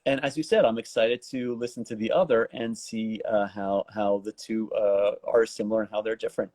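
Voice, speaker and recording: A man hosting a casual interview, asking wordy, roundabout questions in a halting way. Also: lowish voice, fairly even intonation, a little mellow, no room sound. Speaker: talk show host, interviewer.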